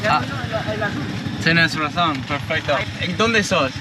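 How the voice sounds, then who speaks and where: Young aussie bloke vlogging on the road, chatty and relaxed, slightly high voice, loud wind and traffic behind. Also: male voice, slow. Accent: Australian. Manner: chatty, easygoing, talks to his dog and viewers. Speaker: travel vlogger. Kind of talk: casual travel vlog. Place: outdoors on a beach and in town. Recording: outdoor camera audio with loud background noise.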